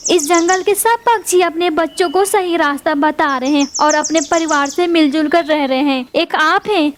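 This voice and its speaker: A woman's voice: very high voice, a little hiss or hum. A woman voicing an earnest mother bird. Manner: earnest, reproachful. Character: honest pleading mother bird.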